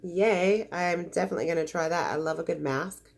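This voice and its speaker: A woman's voice: lowish voice, brisk, creaky. A woman beauty vlogger with a congested voice, chatting casually and gushing as she unboxes makeup samples. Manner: chatty, gushing, easily disappointed.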